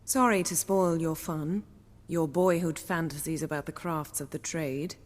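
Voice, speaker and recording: Commanding sorceress, low voice, composed, wry, long reverb. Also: female voice, fairly even intonation, measured pace. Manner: composed, assured, slightly wry. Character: poised, commanding sorceress, adult woman.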